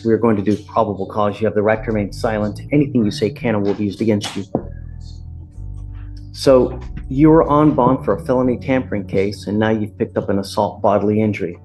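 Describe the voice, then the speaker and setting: A man's voice: lowish voice, dark tone. A man with an American accent speaking as a judge in a courtroom, stern, blunt and colloquial as he addresses people from the bench. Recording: courtroom audio with background music.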